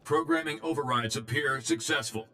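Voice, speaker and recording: A formal, emotionless male security droid played by an actor for a video game. The voice is bright and forceful, delivering clipped official statements in a monotone, with faint noise behind it. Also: medium pitch, normal speed, close to an everyday voice. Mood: flat, official. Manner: formal, monotone, terse machine phrasing. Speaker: prison warden droid.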